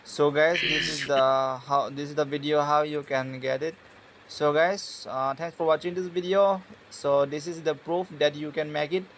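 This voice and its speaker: A man giving a casual tutorial voice-over in a halting, hesitant and repetitive manner. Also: on the high side, fairly even intonation, a bit bright, a little hiss or hum, poor audio. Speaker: tutorial host.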